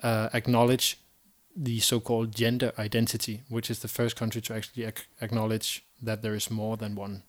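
A man co-hosting a casual podcast discussion, halting and repeating words as he thinks. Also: lowish voice, flat delivery. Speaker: podcast co-host.

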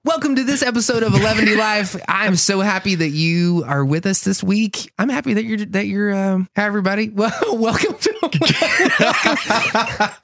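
A nasal-voiced male podcast host who talks in a goofy, animated way and laughs often. His pitch sits a little high and swings widely from line to line. The sound is dry and close, with no room echo. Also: moderate pace. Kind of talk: casual podcast chat. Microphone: studio mic with headphones.

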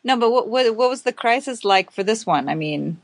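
A woman's voice: fairly high pitch, expressive, fairly quick. A woman in her thirties hosting a podcast interview, playful and teasing, laughing often. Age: 30s. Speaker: podcast host. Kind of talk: casual interview.

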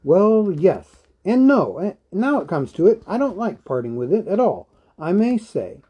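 A man's voice: on the high side, lively intonation, measured pace, mellow, voice pushed up. A man voicing an elderly hobbit in a storybook reading, fretful, stubborn and possessive, with a querulous, insistent manner. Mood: suspicious, annoyed.

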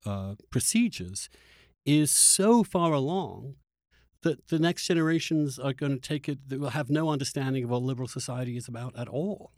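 Guy hosting a politics podcast, mid pitch, emphatic but halting, really dry audio. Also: lively intonation, darkish tone, no echo. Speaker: podcast host and interviewer. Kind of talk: conversational political discussion.

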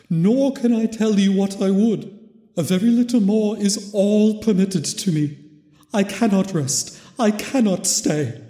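A man's voice: sits high, dark tone, pitched above the performer's own voice. A man voicing the tormented, mournful ghost of a dead miser in a storybook reading, solemn, wailing and drawn out. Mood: anguished, weary. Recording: very echoey, long reverb.

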